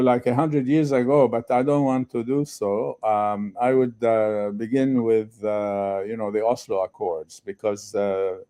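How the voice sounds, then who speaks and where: Older male guest speaker, low mellow voice, hesitant and full of fillers. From Lebanon. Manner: halting, many fillers. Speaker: guest speaker, retired professor. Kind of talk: conference interview.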